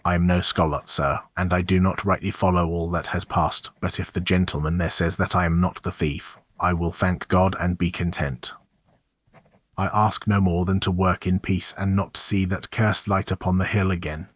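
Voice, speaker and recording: A man's voice: low voice, flat delivery, moderate pace, no echo. A man playing a humble, simple sailor who speaks in a plain, earnest, deferential way. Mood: humble, weary.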